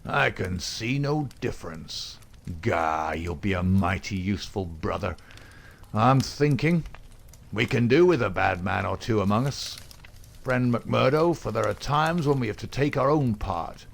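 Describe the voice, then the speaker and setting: Man doing a gruff bullying gang boss, gravelly and loud, storybook reading. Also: on the low side, animated, unhurried, full character voice. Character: rough, domineering middle-aged male gang boss.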